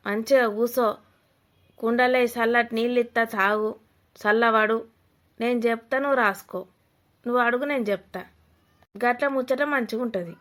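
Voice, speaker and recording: Woman acting a sharp-tongued Telangana village mother-in-law, high-pitched. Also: lightly acted. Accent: Telangana Telugu. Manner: blunt, scolding, mocking, rustic. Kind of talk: village comedy cartoon voice acting.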